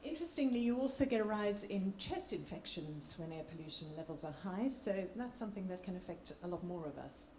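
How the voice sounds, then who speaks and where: A woman doctor with a slightly croaky voice, giving an informal, somewhat hesitant public health presentation. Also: mid-range voice, expressive, brisk, hardly any pauses, a little mellow. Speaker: doctor, clinical respiratory lead. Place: large indoor room at a public meeting. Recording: echoey room with background noise.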